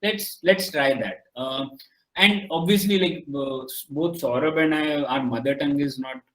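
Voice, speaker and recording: A man's voice: a little high, normal speed, fairly bright tone, roomy sound, poor audio. A man whose first language is Marathi, a podcast guest talking casually, thoughtful, with many fillers and restarts. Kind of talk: casual conversation.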